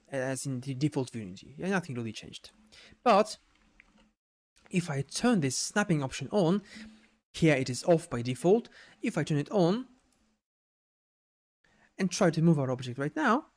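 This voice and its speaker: A man with a slightly high voice talks through a software tutorial off the cuff. His delivery is halting, with repeated words and small checking tags as he explains things step by step. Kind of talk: unscripted screen-share tutorial narration.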